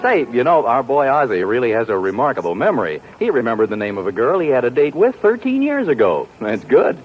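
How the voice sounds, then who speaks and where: A man announcing on a 1940s radio show and reading its commercial in a smooth, salesy, enthusiastic way. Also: mid-range voice, wildly swooping pitch, fairly quick, soft background music. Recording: dull lo-fi 1940s radio broadcast.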